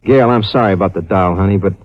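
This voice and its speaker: An actor playing a tough but tender private detective in an old-time radio drama. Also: male voice, deep voice, fast talker, lightly acted. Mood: wry, excited. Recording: dull vintage radio recording.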